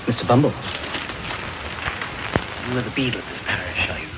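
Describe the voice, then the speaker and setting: An actor playing a sinister, secretive villain, commanding, contemptuous and deliberate, in a radio drama. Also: male voice, medium pitch, lightly acted. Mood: brusque, probing. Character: sinister, secretive villainous man, brusque and menacing. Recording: noisy off-air medium wave radio tape.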